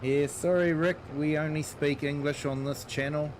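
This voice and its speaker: A man's voice: fairly high pitch, steady tone, moderate pace, a little hiss or hum, low-quality recording. A middle-aged man with a New Zealand accent, chatting casually with viewers while streaming a farming game and greeting them by name. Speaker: gaming streamer. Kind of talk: casual live-stream chat while playing.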